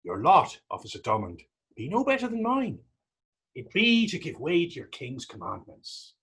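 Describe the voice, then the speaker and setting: A man playing a taunting, defiant Irishman in a readers theater drama, with a husky voice, an Irish accent and a mocking, wordy old-fashioned way of talking. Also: a little high, huge pitch swings, normal speed, a bit bright, full character voice. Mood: mocking, provocative. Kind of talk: readers theater stage acting.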